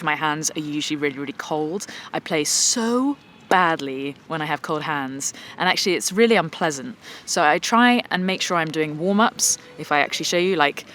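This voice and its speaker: A woman's voice: sits low, fairly bright tone. A young adult woman, a singer-songwriter and guitarist, chatting casually as she reads out viewer questions and answers them with self-deprecating humour. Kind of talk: casual Q&A vlog.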